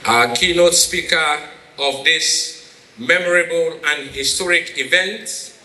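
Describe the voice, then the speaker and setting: A man's voice: on the high side, slow, bright, forceful tone. A Sierra Leonean man speaking as master of ceremonies, giving a grandiloquent, emphatic formal introduction with a Sierra Leonean accent. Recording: roomy echoing PA sound, faint noise.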